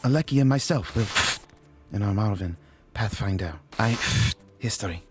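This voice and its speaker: A man's voice: fairly low pitch, animated, normal speed, heavy background noise, natural voice. A man voicing a scientist who dictates a recorded log in an audiobook. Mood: formal.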